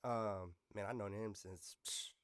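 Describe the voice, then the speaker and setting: A man's voice: fairly low pitch, fairly even intonation, unhurried, dry recording, clean studio sound. A man hosting a podcast, chatting in a casual, slangy way like he is talking with an old friend. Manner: slangy, informal, swears casually. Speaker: podcast host. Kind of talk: casual interview chat among friends.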